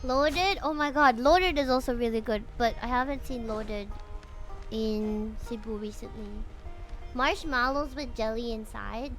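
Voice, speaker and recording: A woman's voice: fairly high pitch, a little slow, a bit bright, soft background music, poor audio. A woman chatting casually and playfully with viewers on a game livestream. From Philippines. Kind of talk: casual game-stream chat and reading dialogue aloud.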